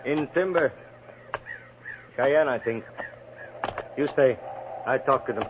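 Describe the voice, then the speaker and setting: An actor playing a calm, cagey frontier guide who speaks terse, halting broken English in a 1950s western radio play. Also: male voice, mid-range voice, phone-quality sound, lightly acted. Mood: guarded. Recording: noisy, band-limited old radio recording.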